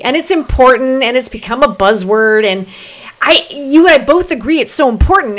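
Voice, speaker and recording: A woman hosting a casual interview, effusive and encouraging toward her guest. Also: fairly high pitch. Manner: effusive, warmly encouraging, uses y'all. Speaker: host.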